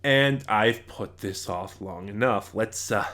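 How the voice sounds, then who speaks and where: A man giving an informal spoken review as a critic, with a halting, emphatic, exasperated delivery. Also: lowish voice, a bit bright. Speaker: audio drama reviewer.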